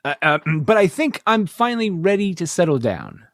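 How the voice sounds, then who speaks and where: A man's voice: high-pitched, sing-song, moderate pace, fairly bright tone, dry recording, clean studio sound, exaggerated voice. A man playing an earnest, boyishly romantic starship captain who stammers sheepishly in a comedic sci-fi radio play. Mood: earnest, flustered. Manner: stammering, throat-clearing, sheepish.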